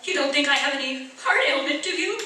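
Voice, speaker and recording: A woman's voice: high voice, fairly quick, sharp tone. A woman playing a complaining, self-pitying, intolerant invalid wife in a radio play. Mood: plaintive, weak. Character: fretful, intolerant hypochondriac wife in a wheelchair. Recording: echoey hall from far off, faint noise.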